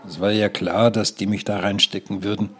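An actor playing a boastful, indignant old mountaineer who digresses like a know-it-all, in a radio drama. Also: male voice, fairly low pitch, level tone, a little fast, slightly rough.

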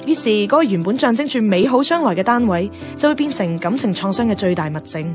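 Actress doing young hk cantonese gal bestie, blunt n naggy, dramatic radio play delivery, music under it. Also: female voice, mid-range voice, animated, fast talker, natural voice. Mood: wry narrating. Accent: Hong Kong Cantonese. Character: blunt, nagging young female best friend, radio DJ. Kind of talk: radio drama acting and narration.

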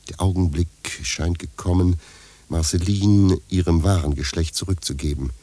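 Deep, slightly husky male narrator. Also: fairly even intonation, normal speed, mellow. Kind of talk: scripted literary radio play narration.

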